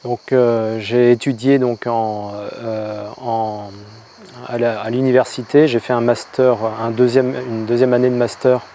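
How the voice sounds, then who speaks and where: A man from France telling his personal testimony, halting and full of hesitations and restarts. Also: medium pitch, little pitch movement, mellow, a little hiss or hum. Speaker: convert telling his story.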